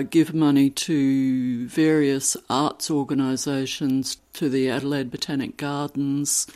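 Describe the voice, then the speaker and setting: A woman answering interview questions in a measured, articulate, dryly critical way. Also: low-pitched, warm tone. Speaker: activist interviewee.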